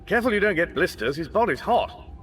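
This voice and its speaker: Well-meaning Englishman, hesitant, coaxing, highly varied intonation, radio comedy drama, slight background noise. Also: big pitch swings, fast talker, a bit bright. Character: ordinary Englishman, well-meaning, bemused space traveller.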